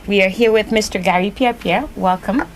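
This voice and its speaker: A woman's voice: medium pitch, brisk, slight background noise. A woman hosting a TV interview show, asking long, chatty questions full of fillers. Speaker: host.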